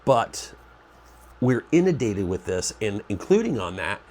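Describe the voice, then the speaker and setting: A man's voice: lowish voice, big pitch swings, measured pace, a little mellow. A man with a stuffy, nasal cold voice, hosting his own preparedness channel in a rambling, conversational way and often correcting himself. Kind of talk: casual talk to camera.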